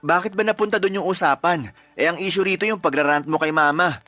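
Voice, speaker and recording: High-voiced defensive boyfriend, radio drama. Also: male voice, quick pace, pushed and bright, faint music behind. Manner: defensive, pleading. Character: defensive young man, boyfriend.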